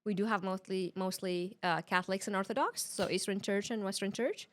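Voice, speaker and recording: A woman's voice: mid-range voice, steady tone, fairly quick. Casting: female interview guest from Iraq, middle-register voice, casual and explanatory with loose phrasing. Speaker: podcast guest.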